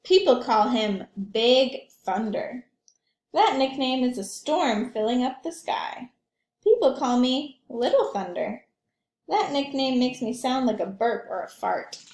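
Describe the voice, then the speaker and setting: Earnest, determined little storybook boy, voiced by a woman, high pitch, emphatic delivery, echoing room. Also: expressive, slow, a little mellow, exaggerated voice. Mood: proud, eager. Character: spirited young boy longing for his own name. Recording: echoey room.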